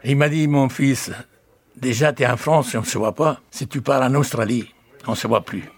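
A man's voice: mid-range voice, fairly even intonation, normal speed, husky, no room sound. A man in his eighties from Calabria, a native Italian speaker with a Calabrian accent, unhurriedly telling a personal story as a guest. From Calabria, Italy. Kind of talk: casual storytelling.

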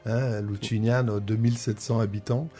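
A man's voice: fairly low pitch, level tone, normal speed, mellow, faint music behind. A man hosting an interview, chatty and friendly, with many fillers and hesitations. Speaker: host. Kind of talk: radio interview chat.

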